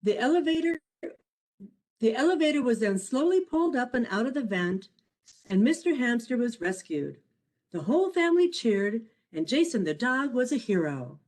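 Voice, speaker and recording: A woman reading her own children's picture book aloud as a storyteller, in an expressive, measured way. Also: on the high side, low-quality recording. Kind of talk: scripted storybook narration for children.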